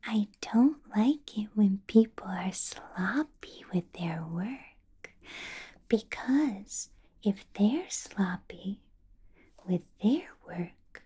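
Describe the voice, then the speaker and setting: A woman playing an ancient, possessive vampire boss in an ASMR roleplay, whispering softly with slow, deliberate, teasing menace. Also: mid-range voice, heavily acted. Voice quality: soft breathy whisper. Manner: slow, deliberate, teasing questions with lingering pauses. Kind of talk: ASMR roleplay, personal attention.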